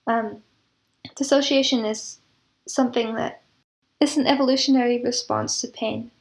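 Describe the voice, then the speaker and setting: A woman's voice: a little high, fairly even intonation, moderate pace, poor audio. A woman being interviewed, explaining things reflectively with examples and analogies. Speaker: interview guest, composer and audio drama producer.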